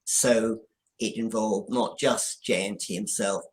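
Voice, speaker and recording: A man speaking as an interview guest, telling an account conversationally with hesitant, halting starts. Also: lowish voice, steady tone. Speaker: guest, scriptwriter. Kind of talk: interview answer, conversational storytelling.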